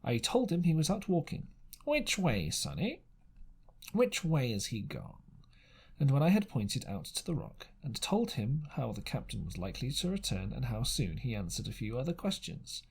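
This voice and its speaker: A man narrating an adventure audiobook as an amateur reader, dramatic in style but halting, stumbling over phrases and rereading them. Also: medium pitch, sing-song, vocal fry, a little mellow, slightly rough.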